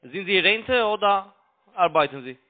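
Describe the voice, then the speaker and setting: A man's voice: high-pitched, expressive. Foreign-accented man on a tinny phone line. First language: not German. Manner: halting, broken grammar. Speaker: cold caller posing as a broker. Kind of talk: unscripted sales phone call.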